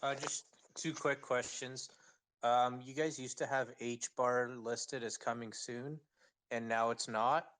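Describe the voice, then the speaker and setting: A man's voice: mid-range voice, fairly even intonation, slow, fairly bright tone. A man calling in with two concise, polite questions. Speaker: caller.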